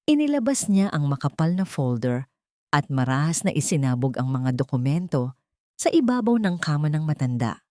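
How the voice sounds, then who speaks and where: A woman's voice: deep voice, very animated, moderate pace, warm tone, dry recording, clean studio sound. A woman narrating an audio drama as dramatic scripted storytelling.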